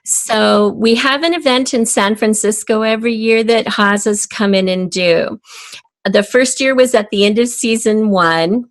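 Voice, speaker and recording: A woman's voice: on the high side, unhurried, no echo. A woman speaking casually and explaining her work as an interview guest. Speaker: interview guest, audio drama writer.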